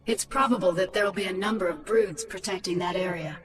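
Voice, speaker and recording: A woman's voice: fairly low pitch, brisk, far from the mic, natural voice. A woman playing a cool, sardonic commander in a video game, with a dry, condescending manner. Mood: cool, impatient. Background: music.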